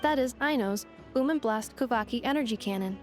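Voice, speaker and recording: A woman voicing a polite female robot guide in a video game, formal, measured and analytical. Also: on the high side, close to an everyday voice. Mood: matter-of-fact. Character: polite, precise young female robot guide, literal and composed. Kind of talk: video game character dialogue. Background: music.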